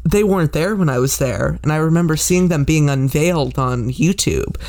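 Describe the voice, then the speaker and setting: A podcast host chatting in a casual interview, relaxed and self-deprecating, with the odd swear word. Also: mid-range voice, normal speed.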